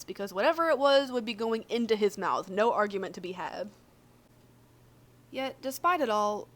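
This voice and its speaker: A woman narrates a piece of fiction in a middle-pitched voice. Her reading is expressive and scripted, yet it sounds conversational and a little wry. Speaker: fan fiction reader.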